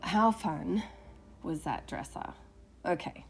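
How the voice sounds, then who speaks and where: A woman's voice: lowish voice, slow pace, vocal fry, soft background music. A woman from Australia with an Australian accent, chatting casually through a voice-over with frequent laughs. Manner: chatty, laughs often, self-deprecating. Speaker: furniture flipping creator.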